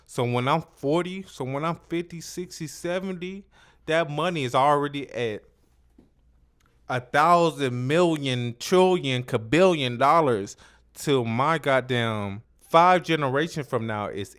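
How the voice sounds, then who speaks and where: A man's voice: medium pitch, fairly bright tone. A man hosting a casual interview, talking emphatically and repetitively with lots of slang and swearing. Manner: emphatic, repetitive, profane street talk. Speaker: interview host. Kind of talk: casual chat.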